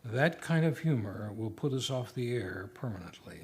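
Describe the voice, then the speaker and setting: A man playing a sardonic radio show announcer, speaking in a measured, theatrical, scripted style. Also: medium pitch, expressive, natural voice. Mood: exasperated. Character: exasperated, dryly sarcastic older radio show announcer. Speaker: host.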